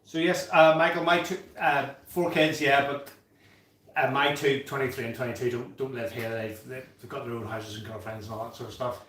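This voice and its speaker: A man's voice: medium pitch, fairly even intonation, moderate pace, a bit bright. A man, hosting a casual home-brewing livestream chat and reading out viewer comments. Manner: chatty, reads out viewer comments, laughs easily. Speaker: livestream host. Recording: echoey room, distant from mic.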